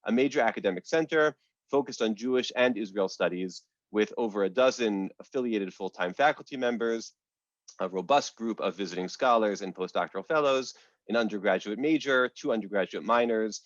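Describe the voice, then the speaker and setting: A man hosting and introducing guests over a video call, speaking in a measured way with frequent "uh" fillers. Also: on the low side, dry recording. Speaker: academic host and moderator. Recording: dull, lo-fi video call audio.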